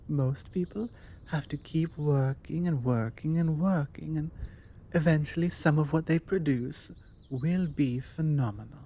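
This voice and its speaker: Slow, mellow British vampire woman, voiced by an actress. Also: deep voice, slight background noise. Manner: measured, conversational, deliberate pauses. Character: forward, self-assured female vampire chatting up a date. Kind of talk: ASMR roleplay, one-sided conversation.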